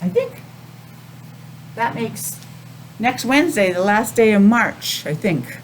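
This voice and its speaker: An American woman teaching young children a simple lesson in a sing-song, questioning way with pauses. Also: fairly high pitch, animated, a little hiss or hum. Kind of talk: children's calendar and weather lesson.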